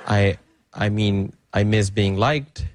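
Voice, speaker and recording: A man performing a stand-up comedy bit, halting and hesitant, with many pauses and fillers. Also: lowish voice, heavy background noise.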